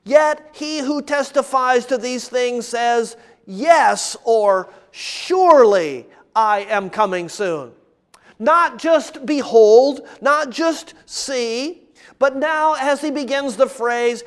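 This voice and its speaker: A man preaching an expository sermon as a preacher, emphatic and deliberately repeating key phrases. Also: very high-pitched, smooth.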